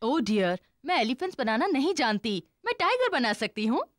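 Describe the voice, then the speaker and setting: A woman's voice: sits high, very animated, moderate pace, no room sound, studio quality. A cheery rabbit lady. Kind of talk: cartoon dub acting.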